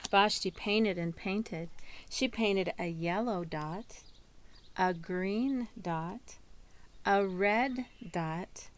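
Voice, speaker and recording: A woman reading a children's picture book aloud as a storyteller, in a deliberate manner. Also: mid-range voice. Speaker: storybook reader.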